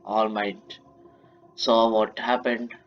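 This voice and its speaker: Halting Indian man with a low voice. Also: little pitch movement, dull audio, a little hiss or hum. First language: Marathi. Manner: halting, many restarts and corrections. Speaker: narrator. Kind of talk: retelling a fan story in plain speech.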